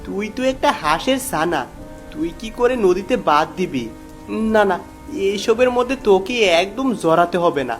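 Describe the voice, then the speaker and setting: A man's voice: sits high, lively intonation, normal speed. Man acting kindly cartoon father, protective, firm, earnest, faint music behind. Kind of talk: animated fairy tale character acting.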